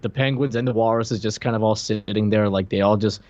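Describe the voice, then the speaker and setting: A man's voice: lowish voice, fairly even intonation, a little fast, a little mellow, no echo, dull audio. A man in his thirties co-hosting a casual review chat in a joking, irreverent way. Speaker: podcast co-host. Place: dim room at home, video call.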